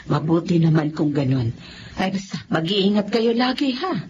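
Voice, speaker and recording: A woman's voice: lowish voice, sing-song, unhurried. An actress playing a caring middle-aged mother in a Filipino radio drama. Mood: caring, pleased. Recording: muffled lo-fi audio with clear background noise.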